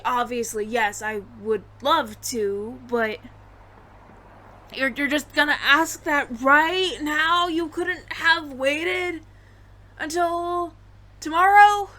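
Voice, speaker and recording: A woman's voice: sits high, bright, forceful tone, voice pushed up, heavily acted. A woman playing a flustered, smitten alien roommate who stammers nervously and earnestly in an intimate audio roleplay. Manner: nervous stammering, halting, repeats words.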